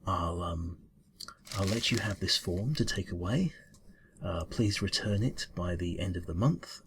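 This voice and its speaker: Casting: male actor as a polite, flustered bureau-cat interviewer for ASMR roleplay. Deep yet bright-edged voice, halting officious mumble. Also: slight background noise, full character voice. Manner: hesitant, mumbling, halting, formal.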